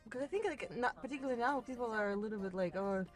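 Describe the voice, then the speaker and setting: A woman's voice: on the high side, racing, faint music behind. Chatty woman in a car. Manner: chatty, with frequent restarts and many "right"s. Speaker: rideshare passenger. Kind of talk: casual conversation. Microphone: car interior mic.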